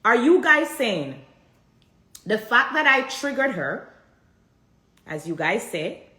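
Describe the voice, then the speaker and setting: A woman's voice: on the high side, sing-song, takes it slowly, sharp tone, reverberant. A woman from Jamaica with a Jamaican accent, talking straight to her viewers and pressing them with repeated rhetorical questions. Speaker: vlogger addressing viewers. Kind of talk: direct-to-camera commentary.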